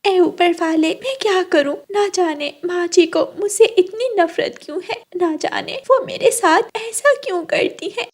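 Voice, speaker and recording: A woman's voice: extremely high pitch, a little slow, few pauses, a little mellow, voice pushed up. A woman voicing a meek, sweet young wife bird in a cartoon story, deferential and plaintive. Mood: tearful pleading. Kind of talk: Hindi cartoon story acting.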